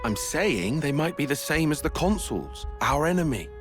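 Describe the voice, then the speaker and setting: Measured, serious young soldier. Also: male voice, fairly high pitch, sing-song. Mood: grave, reasoning. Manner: measured, formal, precise. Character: analytical, serious, cautious young male soldier. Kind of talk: video game voice acting. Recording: loud music behind.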